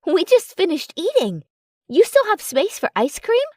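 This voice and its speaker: A woman's voice: extremely high pitch, huge pitch swings, unhurried, mellow, no room sound, studio quality, pitched above the performer's own voice, full character voice. A woman voicing a feisty, blunt, possessive young female werewolf in love, in audiobook dialogue. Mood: amused.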